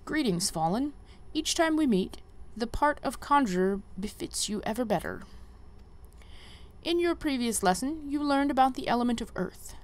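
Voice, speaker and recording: A woman voicing a formal, wise guildmaster mentor from a fantasy game, reading the quest dialogue in a stately, measured way. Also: on the high side, animated, lightly acted. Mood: solemn, encouraging. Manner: stately, measured, archaic phrasing.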